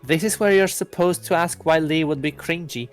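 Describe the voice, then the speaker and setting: A man's voice: on the high side, normal speed, faint music behind, voice pushed up, lightly acted. A man voicing a smirking, teasing teenage schoolgirl in a visual novel, with a snide manner. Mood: mocking.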